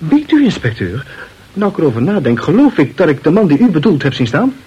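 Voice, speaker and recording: An actor playing an evasive, jokey newspaper reporter in a radio play, stammering and repeating himself nervously. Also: male voice, a little high, big pitch swings, a little fast, mellow, breathy edge, highs cut off, full character voice. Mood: glib, amused. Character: evasive, flustered, jokey male newspaper reporter hiding a guest. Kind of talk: Dutch radio play acting.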